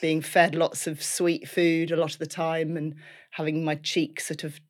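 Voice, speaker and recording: A woman's voice: low-pitched, level tone, a little slow. Scouse lady in her 50s chatting about her life, laughs a lot, lots of ums. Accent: Liverpool. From Liverpool, England. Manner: chatty, laughs often, many fillers. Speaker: guest. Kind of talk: casual life-story interview.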